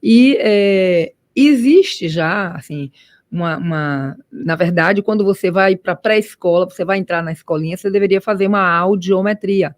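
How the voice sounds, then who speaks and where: A woman's voice: on the low side, moderate pace, no room sound, clean studio sound. A woman, an ear doctor, explaining patiently in a radio interview with frequent restarts. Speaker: ear, nose and throat doctor. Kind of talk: expert interview guest.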